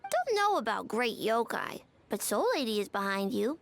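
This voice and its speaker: A woman voicing a small, naive, earnest creature in a video game, childlike and speaking in halting simple phrases. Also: fairly high pitch, very animated, vocal fry, noisy background. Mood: puzzled.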